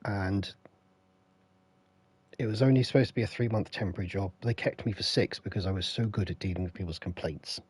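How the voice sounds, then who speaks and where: Croaky low-voiced English man. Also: brisk, no echo. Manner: rambling, anecdotal, stops to greet viewers. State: croaky, unwell. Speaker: streamer. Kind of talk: casual storytelling to live chat. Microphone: headset.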